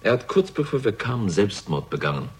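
An actor playing a distrustful police interrogator, curt and stern, in a 1960s German crime radio drama. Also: male voice, lowish voice, sing-song, fairly quick, over loud music. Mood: grave. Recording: vintage radio recording, slight noise, clicks.

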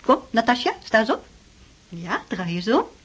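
Fretful middle-aged mum countess, very breathy, swoopy pitch, fond but scolding, radio play. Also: female voice, very animated, moderate pace, heavily acted. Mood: fussing, fond. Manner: indulgent, gently scolding. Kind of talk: radio drama acting.

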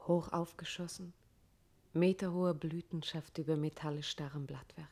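An actress playing a grave, poetic narrator, reciting literary radio play text in a measured, solemn way. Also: female voice, deep voice, fairly even intonation, a little mellow. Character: grave, poetic female narrator evoking roads and places.

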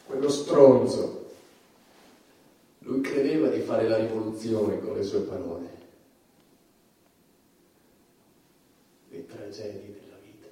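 A man's voice: on the low side, vocal fry, slight background noise. An actor playing an anguished, self-justifying male judge, defiant and guilt-ridden, in a declamatory theatrical monologue. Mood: sombre, resigned. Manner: declamatory, with swings from hushed to shouting. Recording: very echoey stage, distant microphone.

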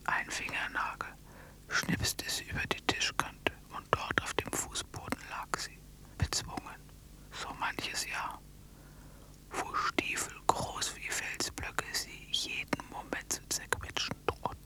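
An actress playing a tiny shrunken old woman, telling a strange fairy tale in a breathy whisper for radio drama. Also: takes it slowly, close to an everyday voice, rough recording. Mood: hushed, dreamy. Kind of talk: slow dramatic storytelling in a radio play.

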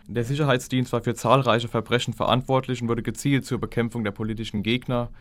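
A man's voice: mid-range voice, level tone, fast. A man reading scripted factual narration as a documentary narrator.

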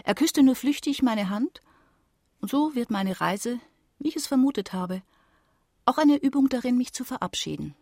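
A woman's voice: on the high side, expressive, moderate pace, darkish tone, no room sound, clean studio sound. An actress playing a free-spirited, rapturous lady wanderer of the early nineteenth century in a literary radio play, with elegant, poetic phrasing. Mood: wistful.

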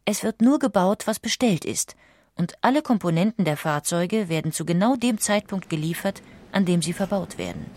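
A woman reading scripted documentary narration with measured, precise enunciation. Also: on the low side, expressive, a little mellow, dry recording.

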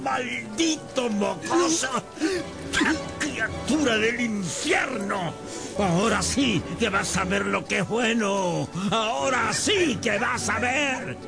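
A cunning, cruel old blind master in a radio play. The voice is creaky and slow, with big swings of pitch. He scolds and mocks theatrically, with music playing behind. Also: expressive, exaggerated voice. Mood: furious. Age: older. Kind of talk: radio drama acting.

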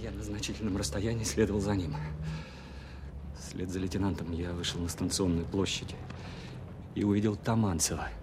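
An actor playing an observant army investigator who narrates his surveillance in a radio play, measured and matter-of-fact. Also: deep voice, fairly even intonation, background noise, lightly acted. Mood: composed. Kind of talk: scripted first-person narration in radio play.

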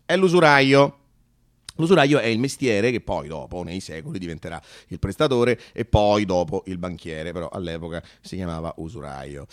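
Animated man telling stories theatrically. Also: low-pitched, moderate pace. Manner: colloquial, dramatic, with emphatic asides. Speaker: narrator and solo storyteller. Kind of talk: theatrical monologue, conversational storytelling.

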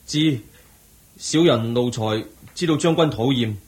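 A man's voice: medium pitch, a little slow, a little mellow, natural voice, low-quality recording. A man acting a deferential, coaxing servant to a king in a Hong Kong Cantonese radio drama. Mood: meek. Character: fawning servant, secretly a vengeful fallen prince.

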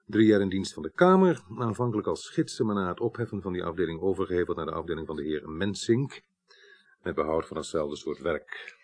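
An actor playing a formal, unhurried police inspector, plain-spoken and procedural, in a Dutch radio drama. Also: male voice, sits low, expressive. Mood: formal, methodical.

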